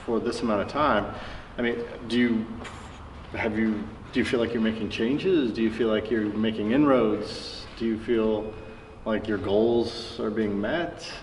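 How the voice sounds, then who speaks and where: A man interviewing conversationally, with halting, roundabout phrasing as he works toward his questions. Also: fairly low pitch, steady tone. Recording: echoey reverberant room, distant mic, faint noise.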